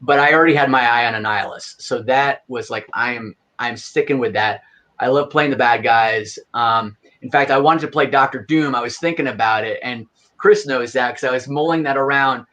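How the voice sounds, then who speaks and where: A man's voice: mid-range voice, brisk, bright, forceful tone. A man guest on a podcast, an audio drama creator, talking in a rambling, easygoing and self-amused way. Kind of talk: casual podcast chat.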